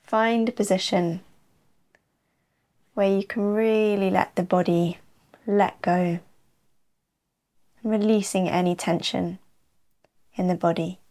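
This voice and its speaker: A woman teaches yoga nidra as a guided rest. Her voice sits at a middle pitch and moves very slowly. She soothes as she goes, leaving long, unhurried pauses between short phrases. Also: steady tone, darkish tone. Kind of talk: slow guided relaxation narration.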